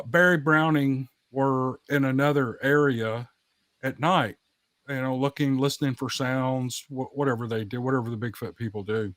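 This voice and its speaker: A man with a mid-pitched voice tells stories in a folksy, conversational way. He repeats phrases to drive a point home, and the sound is dry, with no room around it. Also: dry recording. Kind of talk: casual chat and anecdote telling.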